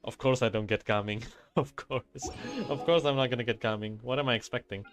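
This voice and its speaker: A man's voice: medium pitch, brisk. A man giving casual gameplay commentary, muttering his reactions to himself as he plays. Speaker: gaming video creator. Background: video game music.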